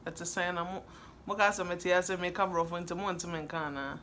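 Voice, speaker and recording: Chatty woman with a bright voice. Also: lowish voice, fairly even intonation, brisk, a little hiss or hum. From Ghana. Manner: laid-back, chatty, laughs easily. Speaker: vlogger answering questions. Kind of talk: casual chat.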